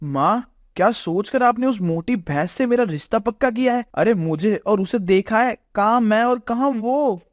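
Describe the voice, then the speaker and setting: A woman's voice: fairly high pitch, lively intonation, normal speed, few pauses, no room sound, studio quality, lightly acted. A woman voicing an arrogant young husband in a story, indignant and scornful. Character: arrogant, short-tempered young husband and son.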